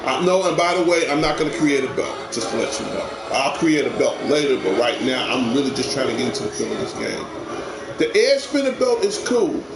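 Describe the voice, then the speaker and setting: A man's voice: mid-range voice, big pitch swings, fairly quick. A man hosting a live game stream, rambling and repeating himself as he talks to viewers. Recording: echoey room with clear background noise.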